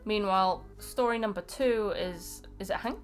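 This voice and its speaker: A woman's voice: mid-range voice, normal speed, faint music behind. A woman co-hosting a casual chat, speaking bluntly and swearing freely. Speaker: co-host.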